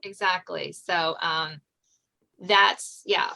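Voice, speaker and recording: Bright-voiced woman, casual podcast host. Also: fairly low pitch, fairly even intonation, drawn-out words, creaky. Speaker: podcast host, suspense author. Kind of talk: casual interview chat.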